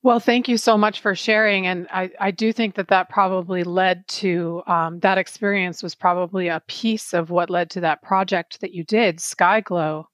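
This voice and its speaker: A woman's voice: fairly low pitch, fairly even intonation, moderate pace, hardly any pauses, dry recording. A woman co-hosting a podcast, speaking conversationally as she interviews a guest. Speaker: podcast co-host.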